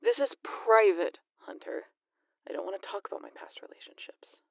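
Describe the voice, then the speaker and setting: A woman's voice: medium pitch, sing-song, normal speed, vocal fry, no echo, in a whisper. A woman playing a teasing, sarcastic, foul-mouthed vampire in a scripted roleplay audio drama, with playful, exasperated banter. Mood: hushed.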